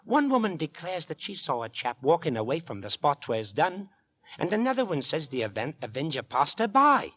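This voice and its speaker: A chatty London policeman and suitor in a vintage radio play. His voice is raspy and very lively in pitch, close and dry. He explains things earnestly and deliberately, in a folksy way. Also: no room sound. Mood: confiding. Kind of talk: 1940s radio acting. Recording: vintage 1940s radio recording.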